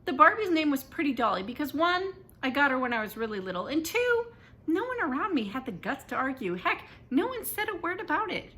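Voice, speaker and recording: A woman reading a first-person children's story aloud in an expressive, dramatic and emphatic way. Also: sits high, a little fast. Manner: animated, dramatic, emphatic delivery.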